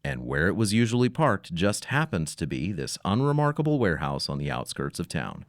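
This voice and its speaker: A man's voice: low-pitched, big pitch swings, a little fast, no echo, studio quality. A man narrating a scripted audio story in a dramatic, slightly wry manner.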